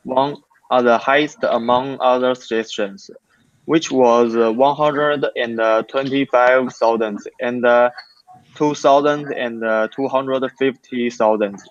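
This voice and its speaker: A man giving a class presentation in a halting way, with many fillers. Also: medium pitch, fairly even intonation, no echo. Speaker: student presenter. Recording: dry lo-fi sound.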